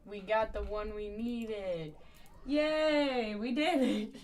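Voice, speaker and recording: A woman's voice: mid-range voice, takes it slowly, darkish tone. A woman giving casual, informal live commentary as she plays a video game, reacting aloud as she goes. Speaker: game streamer. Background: video game sounds.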